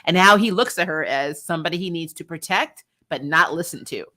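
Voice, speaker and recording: A woman hosting a casual, opinionated recap, talking in an animated, slangy, sweary way and often acting out lines. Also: on the low side, brisk, faint music behind. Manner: acts out dialogue in mocking quotes. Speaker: host of a TV recap show. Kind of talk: casual, opinionated review chat to viewers.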